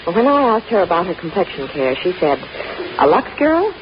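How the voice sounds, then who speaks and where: Casting: female radio pitch presenter, 1950s style, animated testimonial delivery, dull hissy recording. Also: brisk. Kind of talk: scripted 1950s radio advertising.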